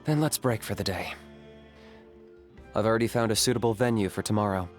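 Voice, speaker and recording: Man as a low, deadpan young hunter over music. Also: fairly quick, natural voice. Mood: dry, calm. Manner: calm, deadpan, matter-of-fact. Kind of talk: video game character acting. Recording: game music behind the voice.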